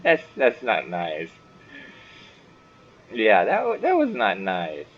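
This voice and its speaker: A man's voice: fairly low pitch, wildly swooping pitch, slow pace. A man giving casual gaming commentary, muttering and thinking aloud as he plays. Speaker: gaming video host. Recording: dull lo-fi mic with faint noise.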